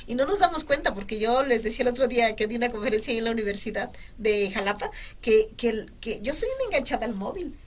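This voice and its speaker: A woman's voice: a little high, lively intonation, fast talker, few pauses. A woman journalist from Veracruz, speaking earnestly as an interview guest and repeating words for emphasis. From Veracruz, Mexico. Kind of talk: conversational interview.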